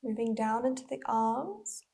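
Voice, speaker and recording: A woman with a fairly high, husky voice that often drops into creak. She guides a mindful body scan gently, leaving unhurried pauses between short, breathy phrases. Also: expressive. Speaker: mindful movement instructor.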